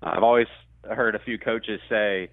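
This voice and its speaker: A man's voice: fairly low pitch, fairly even intonation, normal speed, dry recording. A man talking sports as a radio play-by-play guest, in a chatty, conversational analysis style. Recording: muffled, band-limited phone-like line.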